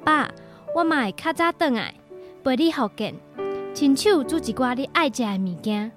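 A woman with a Taiwanese accent, acting a busy, caring mother in a radio drama, expressive and brisk. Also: sits high, over music. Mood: glad, tender.

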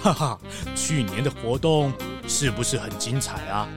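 A man's voice: mid-range voice, expressive, normal speed, music in the background, natural voice. A man voicing a fussy, effusive cartoon town mayor in a children's radio drama, full of hesitant interjections and bustling hospitality.

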